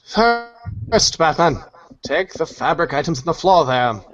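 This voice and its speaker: A man puts on a bossy wandering vagabond for a comic storybook. The delivery is mock-formal and very slow, with big swings in pitch. He sounds pompous and commanding. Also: sing-song, fairly bright tone, muffled recording, soft background music, heavily acted. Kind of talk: comic read-along storybook acting.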